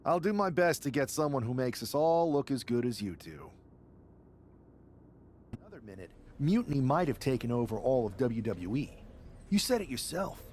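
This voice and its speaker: A man's voice: mid-range voice, big pitch swings, normal speed, darkish tone, a little hiss or hum, close to an everyday voice. A man playing an upbeat, bantering wrestler who recruits allies in a video game cutscene. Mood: determined.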